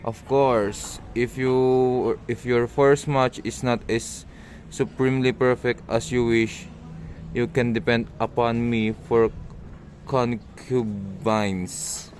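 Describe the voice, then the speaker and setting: A man playing a boastful, fawning village matchmaker in an amateur radio drama, reading haltingly and stumbling over words. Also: medium pitch, steady tone, a little mellow, a little hiss or hum, natural voice.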